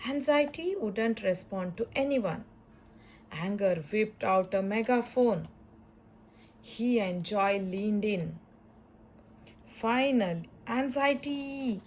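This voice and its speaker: Slow-paced woman reading a children's story. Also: mid-range voice, a little mellow, slight background noise, rough recording. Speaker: storybook reader. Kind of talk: read-aloud children's story narration.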